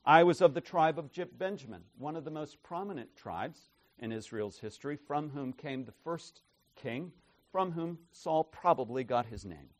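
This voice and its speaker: A man preaching an expository sermon, emphatic and tagging his points with "right?", his loudness swinging from soft asides to forceful declarations. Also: fairly high pitch, lively intonation, moderate pace. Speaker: pastor.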